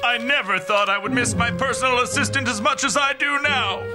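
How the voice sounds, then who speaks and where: A man's voice: very high-pitched, brisk, music in the background. A man voicing a flustered, pompous portly mayor in a cartoon, fussy and whiny. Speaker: town mayor.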